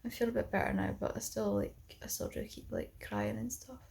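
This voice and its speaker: A woman's voice: a little high, very animated, brisk, creaky, noisy background. A young woman vlogging in a casual chat to the camera, rambling and filling her talk with "like" and "um". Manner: rambling, full of "like" and "um".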